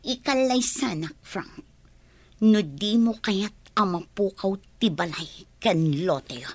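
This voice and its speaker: A woman's voice: medium pitch, lively intonation, slow, no echo. An actress with an Ilocano Filipino accent playing a lovestruck, insistent woman who pleads emotionally in a radio drama. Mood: insistent pleading.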